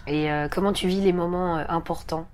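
A woman's voice: fairly low pitch, steady tone, a little fast, a little mellow, a little hiss or hum. A woman interviewing a guest in a casual chat, asking friendly prompting questions.

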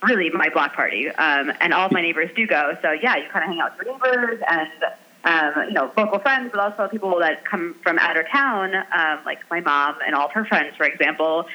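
Female phone-in guest, bright tone, upbeat, tinny line. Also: lowish voice, a little fast. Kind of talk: casual chat.

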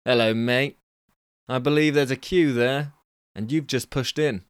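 A man voicing a laid-back male barman, relaxed and unbothered. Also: medium pitch, a little slow, clean tone, no echo, studio quality, natural voice.

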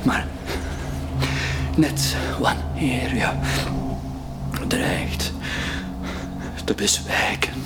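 A man's voice: medium pitch, animated, very slow, pauses often. A man performing an ominous, feverish storyteller in a theatrical radio play narration. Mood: grim, ominous. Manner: theatrical, swinging between whispers and shouts. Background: loud music and soundscape.

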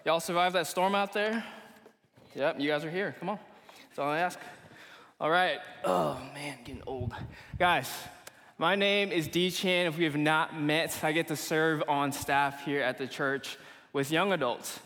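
A man's voice: a little high, a little slow, fairly bright tone. A young man from Malaysia preaching casually to a young adults group at church, in an informal, slangy, conversational way. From Kuala Lumpur, Malaysia. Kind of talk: casual sermon to a live congregation. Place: church meeting hall. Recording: long reverberant hall echo.

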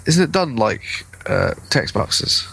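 A man's voice: lowish voice, sing-song, takes it slowly, creaky, a bit bright, husky, poor audio. A man co-hosting a podcast in casual conversation about video games.

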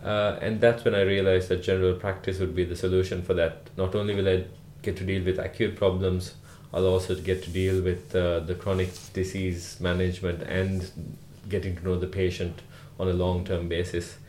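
A man from Sri Lanka, a rural GP, answering podcast interview questions in an unhurried way with many "uh" fillers. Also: low-pitched, level tone, a little mellow, echoey room, slight background noise. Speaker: guest, rural GP.

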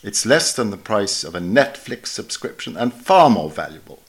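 A man reading a written memoir aloud as a podcast narrator, in a measured, articulate way with expressive emphasis. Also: on the low side, husky. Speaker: reader of a magazine article for a podcast.

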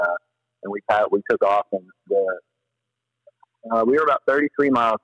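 Low voiced guy on a podcast tellin rambly slangy stories, animated, kinda dull lofi audio, dry no echo. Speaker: podcast guest, paddler and former river guide. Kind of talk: casual storytelling in an interview.

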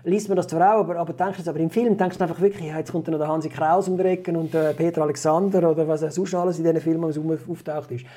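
A man's voice: fairly high pitch, fairly even intonation, fast, hardly any pauses, warm tone. A man with a Swiss German accent chatting casually as he reviews a film, telling it in a rambling way. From Switzerland.

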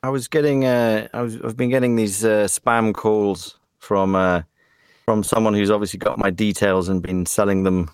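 A man with an English accent chats as a podcast guest. His voice sits a little low, and he talks in a rambling, self-deprecating way with plenty of fillers. The sound is dry and close to the mic. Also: fairly even intonation, moderate pace, smooth, no room sound. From Germany, raised in England. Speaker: voice actor guest. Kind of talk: podcast interview.

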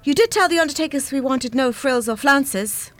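An actress playing a brisk, stingy, scornful grown daughter in a radio play, with an Irish accent and an emphatic delivery. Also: female voice, sits high, animated, a bit bright.

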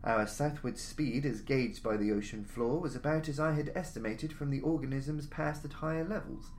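A man's voice: mid-range voice, fairly even intonation, normal speed, a little mellow. Man narrating dramatically over noisy ambience. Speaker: narrator, audiobook-style podcast reader. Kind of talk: dramatic scripted story narration. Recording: noticeable background noise, jungle-like ambience.

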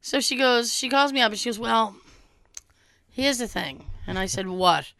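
Young woman, cold-hoarse, bright crisp voice, dry close sound, animated storyteller. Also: a little high, moderate pace, no echo. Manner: animated, swearing, acts out quoted dialogue. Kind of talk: casual storytelling chat.

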